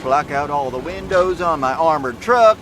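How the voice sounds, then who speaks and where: A man's voice: a little high, expressive, normal speed, lightly acted. An actor playing a cocky, boastful middle-aged survivalist talking folksily to his own camera in an audio drama, swearing freely. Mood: cocky, excited. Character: cocky middle-aged male doomsday prepper driving an armored truck. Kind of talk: self-recorded video monologue in audio drama. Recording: noisy inside a moving vehicle. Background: vehicle engine and road noise.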